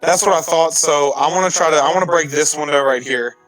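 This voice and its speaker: Higher-voiced man playing a tabletop game. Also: brisk, a bit bright, faint music behind, poor audio. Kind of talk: casual game-table chat.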